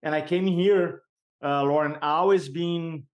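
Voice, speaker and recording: A middle-aged man with a Brazilian Portuguese accent speaks as a guest on a podcast, in a fairly high voice. He tells stories with energy, laughs often and acts out the people he quotes. His first language is Portuguese, and it shows in his English. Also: steady tone, measured pace, muffled recording. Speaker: sales and speaking coach, guest. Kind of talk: storytelling interview chat.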